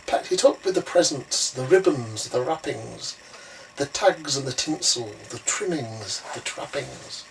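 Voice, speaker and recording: A man's voice: medium pitch, expressive, unhurried, gravelly, a bit bright. A man reading a rhymed storybook aloud in a dramatic, theatrical way. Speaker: story reader. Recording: roomy, distant, faintly noisy recording.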